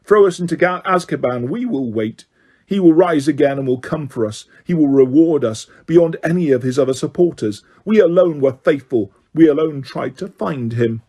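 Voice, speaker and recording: A man's voice: on the high side, moderate pace, a little mellow, slightly rough. Man playing a defiant prisoner woman, proud, taunting.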